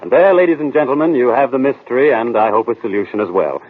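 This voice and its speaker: An American actor playing a confident, urbane young detective in a 1940s radio drama, brisk and self-assured. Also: male voice, medium pitch, phone-quality sound, close to an everyday voice. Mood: genial hosting. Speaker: detective drama lead and quiz host. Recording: noisy, band-limited 1940s radio recording.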